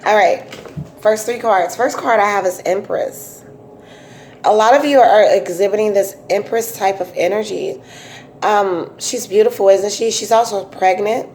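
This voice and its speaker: A woman with a raspy voice giving a casual, chatty tarot reading, full of fillers and restarts. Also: medium pitch, unhurried, a little mellow, a little hiss or hum. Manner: chatty, repetitive, many um fillers. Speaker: tarot reader.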